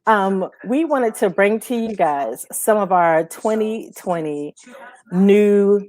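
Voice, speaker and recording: A woman's voice: medium pitch, animated, takes it slowly, darkish tone. A woman hosting a casual music chat show in an informal, chatty manner. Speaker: show host.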